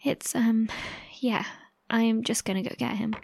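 A woman's voice: a little high, fairly even intonation, unhurried, vocal fry, a little mellow, a little hiss or hum. A woman acting in an audio drama as an anxious, caring radio host to survivors, with a hesitant manner. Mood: awkward, apologetic.

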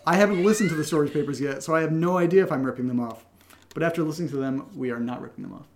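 A young man with a slightly high-pitched voice co-hosts a casual podcast. He chats off the cuff while answering questions, joking and keeping things informal. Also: rapid-fire, slight background noise. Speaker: podcast co-host and creator. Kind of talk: casual unscripted Q&A chat.

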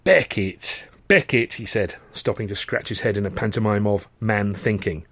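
A man's voice: fairly low pitch, level tone, moderate pace, husky, dry recording. A man narrating a novel as a dramatised audiobook, with wry, wordy expression. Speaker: author reading his own novel.

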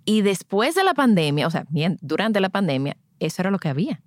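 A woman's voice: medium pitch, very animated, brisk, no room sound, clean studio sound. A woman hosting a podcast, introducing and chatting in a conversational interview style. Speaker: podcast host, birth and postpartum educator.

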